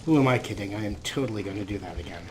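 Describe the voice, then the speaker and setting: A man's voice: fairly low pitch, very fast. A man hosting a let's play with casual, playful game commentary, wordy and wry, with a theatrical streak. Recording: clear background noise, game ambience.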